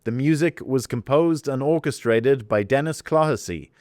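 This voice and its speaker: A man's voice: mid-range voice, normal speed, darkish tone, dry recording, studio quality. A man reading scripted closing credits as an announcer. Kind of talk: scripted narration.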